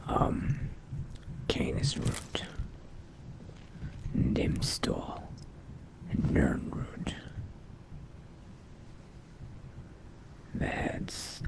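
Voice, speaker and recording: A man playing a male dark elf vampire mage in a video game roleplay, speaking in a hushed, deliberate, brooding way. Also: extremely low pitch, heavily acted, rough recording. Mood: muttering, hushed. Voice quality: husky, whispery, gravelly. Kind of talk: in-character game roleplay monologue.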